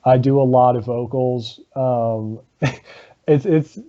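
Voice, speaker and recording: Man, low dark voice, slow halting speech, dull lo-fi podcast sound. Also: fairly even intonation. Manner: halting, many ums, laughs often. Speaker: guest, comedy rock band member. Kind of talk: casual interview chat.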